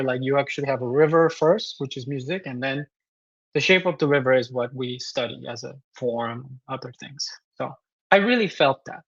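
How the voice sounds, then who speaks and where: Korean-accented male composer, slightly high voice, reflective interview guest, dry close sound. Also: no echo, highs cut off. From South Korea. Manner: thoughtful, many fillers and restarts. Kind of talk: interview answers.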